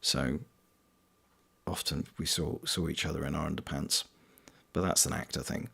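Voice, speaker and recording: A man's voice: a bass voice, fairly even intonation, moderate pace, gravelly, bright, forceful tone, breathy edge. A British man streaming a game, chatting casually and reading viewers' comments aloud, greeting them by name. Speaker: streamer and voice actor.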